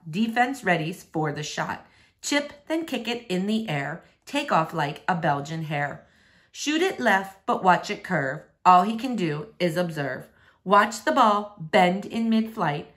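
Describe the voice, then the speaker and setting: A woman reading a novel in verse aloud in an expressive storytelling style. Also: fairly low pitch. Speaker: read-aloud reader.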